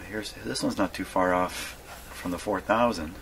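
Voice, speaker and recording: Low-voiced man thinking aloud, noisy recording. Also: fairly bright tone. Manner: halting, muttering to himself, repeats words. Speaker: hobbyist radio repairer presenting his workshop video. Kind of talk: casual hands-on demonstration, thinking aloud. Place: radio repair workshop. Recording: clearly noisy workshop recording.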